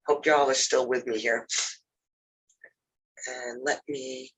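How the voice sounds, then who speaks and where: Male instructor with a cold, nasal and husky, loose and filler-heavy delivery, computer mic. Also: medium pitch, takes it slowly, low-quality recording. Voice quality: nasal, congested. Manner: relaxed, filler-heavy, says "you know" often. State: has a cold. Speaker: lab instructor. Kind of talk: casual recorded lab walkthrough over a video call.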